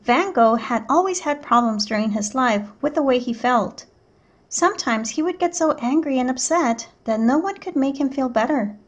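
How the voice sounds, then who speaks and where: High-pitched female teacher, narrating a picture book expressively for children. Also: animated, normal speed. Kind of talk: children's read-aloud narration.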